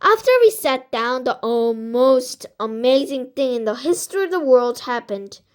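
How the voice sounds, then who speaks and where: A woman reading a children's storybook aloud in a halting way, stumbling over some words. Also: sits high. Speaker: amateur book reader.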